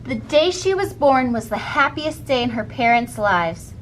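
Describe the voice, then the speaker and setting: A woman giving an expressive read-aloud narration of a children's storybook. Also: fairly high pitch, a little slow, a little hiss or hum, low-quality recording. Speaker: storybook reader.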